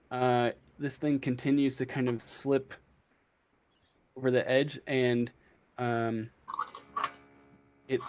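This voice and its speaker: Male game master for tabletop RPG, slow pace, halting improvised narration, mid-pitched voice. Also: a little hiss or hum. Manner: halting, searching for words.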